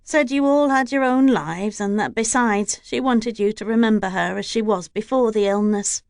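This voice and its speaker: A woman's voice: a little high, very animated, moderate pace, no echo, clean studio sound. A woman voicing an older housekeeper who is formal and deferential to her lord. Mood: earnest. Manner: deferential to the lord, formal and old-fashioned speech. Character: older female housekeeper, devoted, stiff with outsiders.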